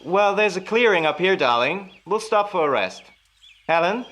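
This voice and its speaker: A man's voice: high-pitched, lively intonation, normal speed, a bit bright. An actor in a radio play as a jealous husband, musing theatrically and teasing his wife with menace. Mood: calling out.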